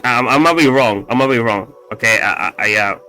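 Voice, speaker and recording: A man casually walking through his code, halting often with fillers and restarts, with a slightly raspy voice and the odd swear. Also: medium pitch, sharp tone, faint music behind. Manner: halting, full of fillers and restarts, profane asides. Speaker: coding tutorial host. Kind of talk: casual screen-recorded coding walkthrough.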